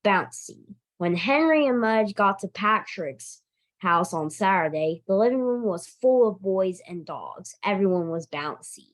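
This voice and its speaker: A woman reads a picture book aloud for young children at an unhurried pace. Her pitch rises and falls freely, with emphatic, expressive phrasing and an occasional stumble over a word. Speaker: library director reading a picture book aloud. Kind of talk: animated children's storytime read-aloud.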